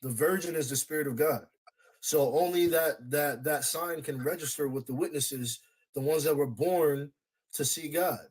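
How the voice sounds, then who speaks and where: A man's voice: on the high side, normal speed, a little mellow, husky, low-quality recording. A man preaching in a religious debate, insistent and repetitive, cutting in to keep the floor.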